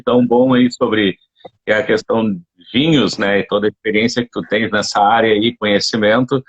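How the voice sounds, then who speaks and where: A man hosting a relaxed online live interview, talking informally with lots of fillers. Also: medium pitch, husky, rough recording. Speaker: host.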